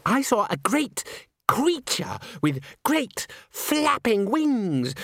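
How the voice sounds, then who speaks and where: A breathy dramatic girl, as a man puts her on. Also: very high-pitched, sing-song, drawn-out words, fairly bright tone, no echo, clean studio sound, voice pushed up, heavily acted. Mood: dreamy. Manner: shrieky and dreamy. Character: breathless airy young woman, dramatic and silly.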